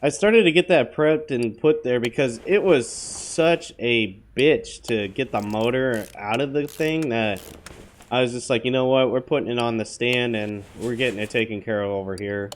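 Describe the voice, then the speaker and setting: A man with a hoarse voice from a cold gives rambling, offhand commentary while playing, talking to viewers with plenty of fillers. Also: medium pitch, normal speed, slight background noise. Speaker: gaming channel host. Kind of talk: casual gameplay commentary.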